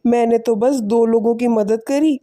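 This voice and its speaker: A woman voicing a humble, selfless poor village man in an animated moral story, speaking politely and earnestly. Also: a little high, unhurried, close to an everyday voice. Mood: humble, modest.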